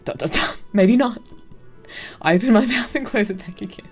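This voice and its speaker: A woman's voice: a little high, darkish tone, husky. Woman wryly reading game narration aloud. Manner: amused, wry. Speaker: game streamer.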